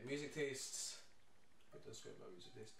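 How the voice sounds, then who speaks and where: A man's voice: medium pitch, animated, fairly quick, gravelly, fairly bright tone. Young Scottish man, roomy and distant. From Scotland. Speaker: band singer. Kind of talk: casual band Q&A chat. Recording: echoey room, mic far off, faint noise.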